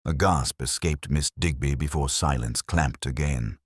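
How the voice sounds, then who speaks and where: A man narrating a detective story in a measured, dramatic way, as for an audiobook. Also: a bass voice, husky, no echo, studio quality.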